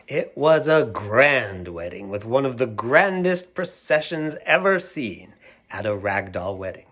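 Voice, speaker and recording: A middle-aged man reads a children's picture book aloud at a slow, patient pace. He talks gently to young listeners and stops to show them the pictures. His voice sits at an ordinary pitch and moves a little closer to the camera mic now and then. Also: slightly rough. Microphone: camera mic, moving toward it to show pages.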